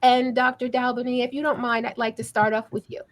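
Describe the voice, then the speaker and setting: A woman's voice: on the high side, little pitch movement, fairly quick. A woman moderating a panel discussion in an upbeat, encouraging manner.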